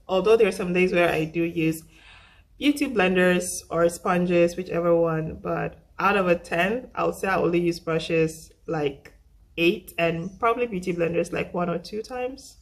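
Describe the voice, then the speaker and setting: Young woman, husky voice, flu-roughened, lowish pitch, chatty makeup tutorial, repeats herself. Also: normal speed. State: recovering from flu. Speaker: makeup vlogger. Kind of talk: casual makeup tutorial talk.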